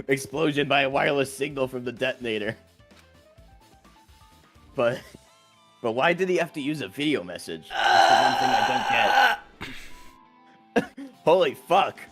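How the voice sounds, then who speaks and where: A man's voice: mid-range voice, animated, unhurried, pauses often, fairly bright tone. A man livestreaming a game, reading dialogue aloud and breaking off into casual, joking asides. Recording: music playing behind the voice.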